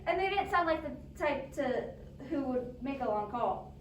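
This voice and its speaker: A woman playing a nervous, imperious, demanding invalid wife on the telephone in a radio play, agitated and halting. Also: high voice, animated. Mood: urgent. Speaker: amateur drama club actor. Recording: echoey, distant, noisy amateur recording.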